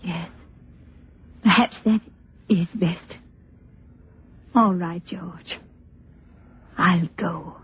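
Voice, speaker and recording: An actress playing a gentle, ailing middle-aged aunt in an old radio drama, soft-spoken and resigned. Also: female voice, lowish voice, extremely slow, pauses often, background noise. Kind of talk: 1940s radio drama acting. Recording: muffled old radio recording.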